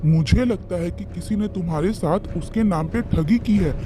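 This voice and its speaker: A man's voice: high-pitched, moderate pace, warm tone, a little hiss or hum, natural voice. A man voicing a gossipy village neighbour who confides and advises in a children's cartoon story. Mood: confiding, cautioning. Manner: chatty, confiding. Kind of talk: animated children's moral story dialogue. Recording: music behind the voice.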